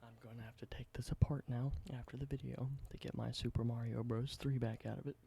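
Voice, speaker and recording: Gravelly-voiced man in soft whispers. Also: little pitch movement. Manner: soft whisper slipping into low spoken voice, unhurried. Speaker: ASMR creator. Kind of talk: whispered ASMR roleplay showing objects. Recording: noticeable background hiss.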